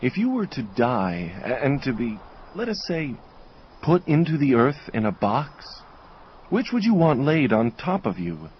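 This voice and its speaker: A man's voice: mid-range voice, big pitch swings, unhurried, lo-fi sound, a little hiss or hum. An actor playing a distracted, fussy angel craftsman in an audio drama, hesitant and musing. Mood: absorbed.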